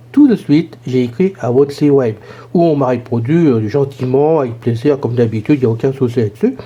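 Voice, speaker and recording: A hoarse man casually explaining a gadget in a chatty, rambling tutorial. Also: medium pitch, warm tone, lo-fi sound. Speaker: tech tutorial presenter.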